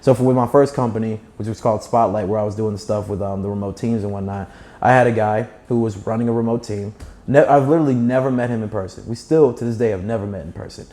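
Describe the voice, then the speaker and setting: A man's voice: on the low side, fast talker, dark tone. A young man with a slight lisp, speaking fluently and emphatically as a guest telling stories and giving advice in conversation. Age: young adult. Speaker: guest, startup founder. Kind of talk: animated conversational storytelling and advice.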